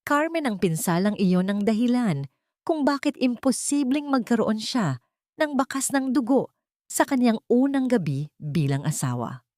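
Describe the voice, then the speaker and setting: A woman's voice: a little high, expressive, moderate pace, a little mellow, no echo, clean studio sound. A woman with a Filipino accent reading a scripted, dramatic story as its narrator.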